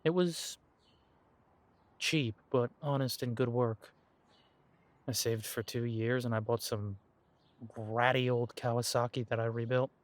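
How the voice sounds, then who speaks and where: A young man voicing an easygoing werewolf man in an audio roleplay, talking to the listener in a relaxed, reminiscing way and chuckling often. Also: medium pitch, a little slow, pauses often, no echo, natural voice. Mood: nostalgic.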